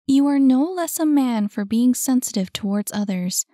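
A woman voicing a high, pleading young heroine. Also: animated, moderate pace, dry recording, clean studio sound. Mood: tender. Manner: soft, pleading, concerned. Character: gentle, caring young woman, earnest.

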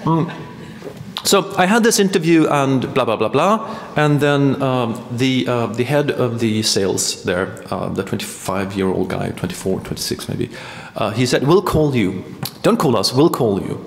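A man's voice: medium pitch, lively intonation, measured pace, creaky. A man in his thirties from Sweden with a Swedish accent, telling a rambling story as a performance artist, full of hesitations and restarts. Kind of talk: performance monologue. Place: large hall. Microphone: stand mic. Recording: echoey hall, long reverb.

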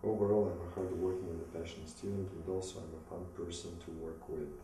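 Man, thirties, Russian accent, mellow tone, halting scripted self-presentation, very echoey distant recording. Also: low-pitched, steady tone. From Moscow, Russia. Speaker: business school applicant. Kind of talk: rehearsed self-presentation read from notes. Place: small indoor room against plain wall. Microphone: distant camera mic. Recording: echoey room, distant mic, some noise.

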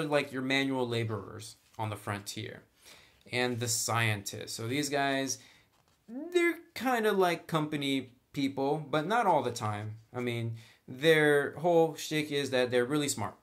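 A man's voice: medium pitch, sing-song, measured pace, a bit bright. A man hosting a casual tabletop game tutorial, talking in a chatty, informal, slangy way. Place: indoors at a tabletop.

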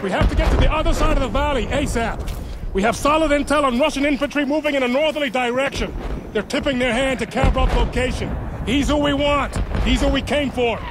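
A hard-driving, unyielding military officer from a shooter game. His pitch is very high for a man and his delivery is blunt and clipped. Clear battlefield vehicle noise runs behind him. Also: fairly quick. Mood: commanding. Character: stern, hard-driving male army officer, gruff and unyielding.

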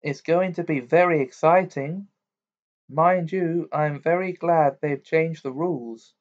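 A man voicing a kindly middle-aged mother in a storybook reading, coy, warm and fussing. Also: fairly high pitch, unhurried, dull audio, voice pushed up, natural voice.

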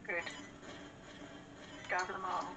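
A woman's voice: fairly low pitch, little pitch movement, slow pace, gravelly, noisy background. A woman co-hosting a gaming channel, chatting casually with teasing, slangy banter during a let's play. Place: indoors, in front of a TV. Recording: game music over the talk. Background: video game music.

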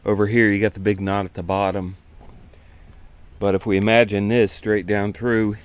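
Middle-aged man, low voice, relaxed plainspoken farm vlogger, dull lo-fi outdoor camera audio. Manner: relaxed, plainspoken, thinking aloud while working. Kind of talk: casual how-to narration. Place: outdoors on a farm yard by a woodshed.

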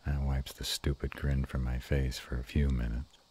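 Man acting a male were-dragon lover, extremely low pitch, very raspy and breathy, affectionate, bashful, intimate roleplay delivery. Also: little pitch movement, moderate pace, darkish tone. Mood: bashful, happy. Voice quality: very deep and husky. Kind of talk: intimate romantic roleplay audio.

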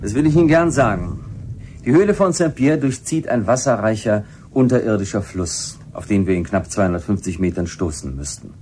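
An actor playing a confident, genial cave researcher in a German radio play. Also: male voice, lowish voice, animated, a little fast, a little mellow, a little hiss or hum, natural voice. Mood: confident, enthusiastic.

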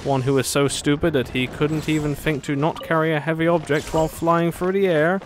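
A man's voice: fairly high pitch, level tone, fairly quick. A man giving casual gameplay commentary with playful, sardonic asides and bits of sing-song babble. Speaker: gamer commentating a playthrough. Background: game music.

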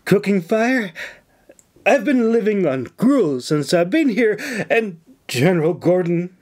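A man's voice: high-pitched, expressive, unhurried, a little mellow, pitched above the performer's own voice. A man voicing a scheming young woman mage who sniffles and pleads as she fakes distress. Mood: pleading.

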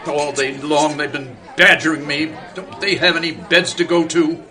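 A man's voice: on the high side, fairly even intonation, moderate pace, sharp tone, some chatter behind. A man acting in radio theater as a stubborn, dreamy husband becoming a tree, speaking formally and solemnly. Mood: grumbling.

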